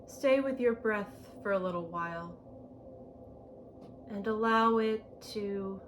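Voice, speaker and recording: A woman leading a guided meditation in a gentle, unhurried manner, with spacious phrasing and long pauses. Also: mid-range voice. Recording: clear background ambient noise.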